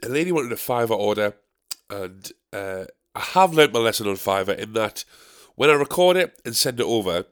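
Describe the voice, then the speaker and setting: A man hosting his own podcast, getting over a cold, sounding nasal and gravelly, rambling and swearing as he mocks himself. He records at his desk in a dark bedroom. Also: fairly low pitch, big pitch swings, normal speed. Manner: sweary, rambling, self-mocking. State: recovering from a cold. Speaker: podcast host. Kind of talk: casual solo podcast chat. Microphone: desk microphone, badly set up.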